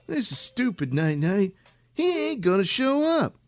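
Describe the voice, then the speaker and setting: A man's voice: high-pitched, big pitch swings, measured pace, soft background music. A man voicing a whiny, mocking young sidekick in a comedy audio drama.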